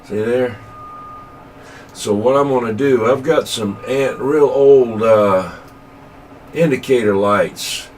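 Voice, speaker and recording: A man chatting casually over CB radio in a folksy, halting way, searching for his words. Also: mid-range voice, a little mellow. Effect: CB radio transmission. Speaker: CB radio operator. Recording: distant, slightly noisy CB radio transmission.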